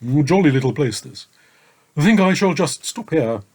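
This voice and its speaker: A man's voice: fairly high pitch, lively intonation, normal speed, a little mellow, husky, pitched above the performer's own voice. A man voicing a big, easygoing male dragon who murmurs sleepily and contentedly. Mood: drowsy.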